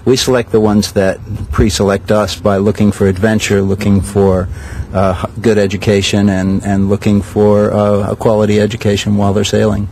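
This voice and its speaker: An older man directing a sailing school, answering interview questions in a measured way with many pauses. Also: on the low side, little pitch movement, a little mellow, slight background noise, rough recording. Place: outdoors at a regatta.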